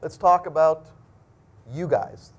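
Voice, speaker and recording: A man's voice: on the high side, slow, breathy edge. A middle-aged man speaking as a school dean, giving an explanatory, conversational presentation to students. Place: indoor lecture room at a podium.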